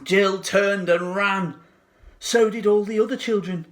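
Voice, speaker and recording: A man narrating a children's storybook expressively, with dramatic, deliberate phrasing. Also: high-pitched, steady tone, fairly bright tone. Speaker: teacher reading to pupils.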